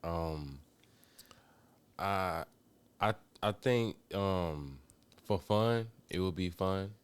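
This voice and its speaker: Very deep, laid-back man. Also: big pitch swings, clear, resonant tone, dry recording. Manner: laid-back, slangy, unhurried. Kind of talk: casual chat.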